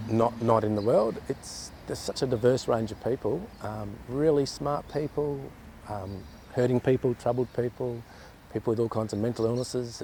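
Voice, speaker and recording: A man's voice: mid-range voice, warm tone, slight background noise. A man with an Australian accent, speaking reflectively in a documentary interview.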